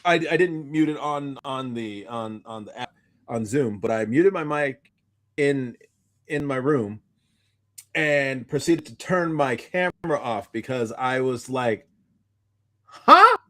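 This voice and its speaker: A man co-hosting a podcast, telling stories in a casual, animated, rambling way and often stumbling over repeated starts. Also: mid-range voice, takes it slowly. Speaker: podcast co-host. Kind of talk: casual chat, recounting anecdotes.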